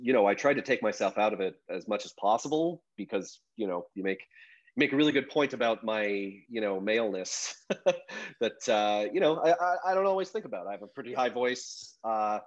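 A man's voice: fairly low pitch, animated, brisk, muffled recording. A man, a journalist, talking casually about his story in a rambling, self-deprecating way with lots of fillers. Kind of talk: casual podcast conversation.

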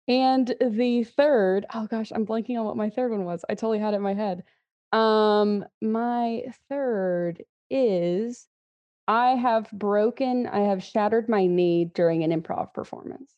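Laughing young Texan woman, mellow voice. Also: medium pitch, slow, highs cut off. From Texas. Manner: lively, laughs often. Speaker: guest. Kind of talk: casual interview chat.

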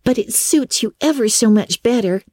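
A woman's voice: a little high, expressive, normal speed, a little mellow, dry recording, studio quality, voice pushed up. A woman voicing a vivacious, bossy and affectionate teenage girl who fusses over her best friend in a storybook reading. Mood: gushing, insistent. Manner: gushing, decisive, affectionate.

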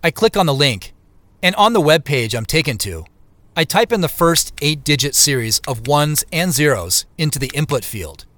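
Audio drama lead, male actor: introspective, determined male sleuth narrating deliberately, slightly raised pitch with very varied intonation. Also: sing-song, fairly bright tone, dry recording. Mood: focused, determined. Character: inquisitive male amateur investigator, reflective and determined. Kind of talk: first-person scripted audio drama narration.

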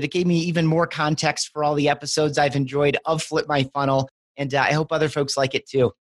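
A man's voice: a little high, dry recording. Male podcast interviewer, encouraging and articulate, dry close-miked recording. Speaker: guest podcast host, interviewer.

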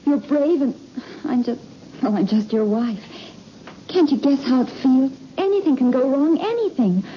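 An actress playing an anxious, devoted astronaut's wife, plaintive and tremulous, in a 1960s radio drama on an old recording. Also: female voice, high voice, lively intonation, normal speed, highs cut off, slight background noise. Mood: frightened, pleading.

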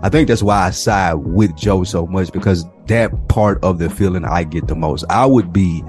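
A man's voice: low-pitched, normal speed, a little mellow, faint music behind. A man co-hosting a podcast, debating casually with a friend in informal, slangy speech. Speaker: podcast co-host.

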